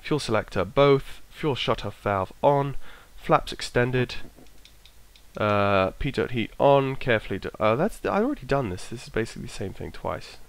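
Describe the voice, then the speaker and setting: A man with a bit of a cold, his voice croaky, casually talking himself through an aircraft checklist and trailing off as he goes. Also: medium pitch, very animated, normal speed. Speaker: flight sim streamer. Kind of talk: casual narrated walkthrough.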